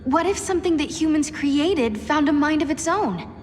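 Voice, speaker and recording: Earnest young heroine, high voice, game cutscene in reverb with light music. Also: female voice, expressive, normal speed, a little hiss or hum. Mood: pensive. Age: young adult. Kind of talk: video game cutscene acting.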